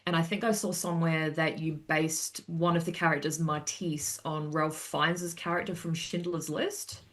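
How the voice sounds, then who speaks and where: A woman's voice: low voice, level tone, moderate pace, a bit bright. A woman chatting casually as an interviewer, asking questions and dropping in short, reactive remarks.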